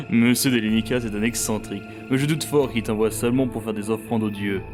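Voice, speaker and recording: A man's voice: medium pitch, expressive, moderate pace, over music, close to an everyday voice. A man acting a mocking, suspicious temple guard in an audio drama, with a menacing, sneering manner.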